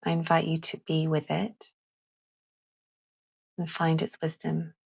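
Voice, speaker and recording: A woman leading a calming guided visualisation in a gentle, deliberate manner with long pauses. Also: low-pitched, vocal fry, husky. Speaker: guided meditation host.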